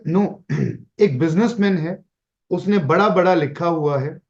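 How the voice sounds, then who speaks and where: A man's voice: high-pitched, slow pace, a little mellow, muffled recording. A man lecturing in an online class, mixing English phrases into Hindi and asking rhetorical questions. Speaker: Vastu teacher.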